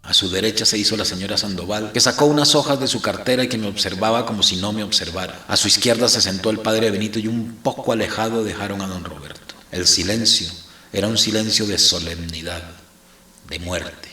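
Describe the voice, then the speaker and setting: An actor playing a grave, fatalistic captive priest who narrates his ordeal in a measured, theatrical, literary audio-drama style. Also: fairly low pitch, pushed and bright, reverberant. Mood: grave, brooding.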